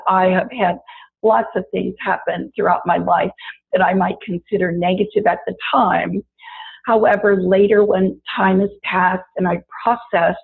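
Female wellness teacher, gravelly from recent illness, talks straight to listeners, thin phone-line sound. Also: mid-range voice. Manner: warm, chatty, addresses listeners directly. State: raspy voice after illness. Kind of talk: guided body-awareness talk. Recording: tinny phone-like audio.

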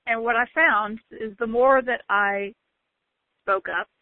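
A woman's voice: a little high, dry recording. Emphatic woman on a tinny line. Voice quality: loud, bellowing voice. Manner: emphatic, drawn-out stresses. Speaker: motivational speaker. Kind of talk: motivational talk. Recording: tinny phone-like line, dry.

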